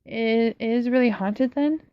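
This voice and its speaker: A woman's voice: on the high side, slow, dry recording. A woman reading the part of an imaginative young girl, earnest and a little defensive, on a slightly dull, dry recording.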